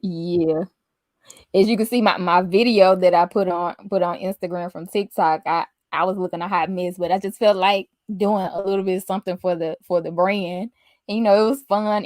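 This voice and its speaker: Soft-spoken low-voiced woman chatting. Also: dry recording, clean studio sound. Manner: soft-spoken, laughs often. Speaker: podcast host. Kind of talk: casual chat.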